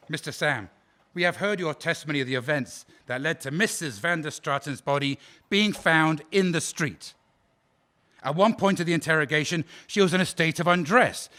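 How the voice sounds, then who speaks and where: A man's voice: high voice, pushed and bright. A man playing a prosecuting officer at a war crimes trial, cross-examining a witness in a probing, sarcastic and formal way. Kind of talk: audio drama acting.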